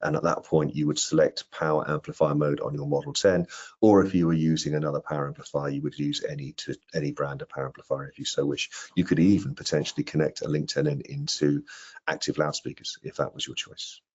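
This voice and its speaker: A middle-aged man with a husky voice giving a product training presentation on a video call and taking questions. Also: extremely low pitch, little pitch movement, fast talker, a little mellow. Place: indoor room on a video call. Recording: dull, lo-fi video call audio.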